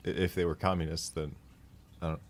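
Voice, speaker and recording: A man's voice: deep voice, little pitch movement, fairly quick, very noisy. A man taking part in an improvised tabletop game as a player, role-playing casually.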